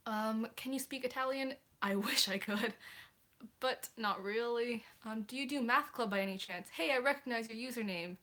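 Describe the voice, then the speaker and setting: Chatty teen girl with a high voice. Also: fairly bright tone. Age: teens. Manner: chatty, apologetic, hesitant with frequent ums. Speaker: livestreamer. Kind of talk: casual livestream chat answering viewer questions.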